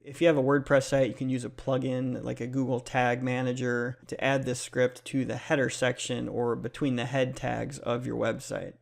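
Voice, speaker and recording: Even-toned man presenting a tutorial. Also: mid-range voice, moderate pace, gravelly, hardly any pauses. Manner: plain, step-by-step instructional delivery. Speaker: tutorial host. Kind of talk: casual explanatory screen tutorial.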